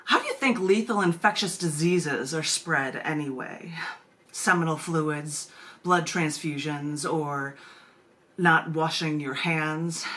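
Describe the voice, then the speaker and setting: A woman's voice: low-pitched, fairly bright tone. An American woman hosting a poetry open mic over a video call, chatty and given to rambling asides. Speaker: open mic host and poet. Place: at home, indoors. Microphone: video call microphone.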